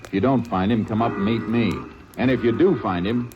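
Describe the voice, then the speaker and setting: An actor playing a steady, matter-of-fact lawman who narrates his case in an old radio drama, with clipped delivery. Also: on the low side, brisk, dull audio, background noise, natural voice. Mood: terse. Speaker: narrator and lead. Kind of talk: 1950s radio drama acting.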